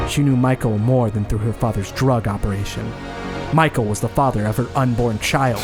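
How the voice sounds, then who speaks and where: A man hosting a comedy podcast, speaking as writer and reader of his own story. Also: mid-range voice. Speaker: podcast host. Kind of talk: dramatic scripted narration, then casual chat. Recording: loud music behind the voice.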